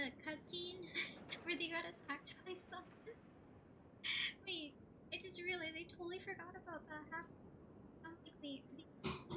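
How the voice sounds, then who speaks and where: A woman's voice: high-pitched, noisy background. A woman giving casual, hesitant live game commentary, giggly and thinking aloud as she goes. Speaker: game streamer.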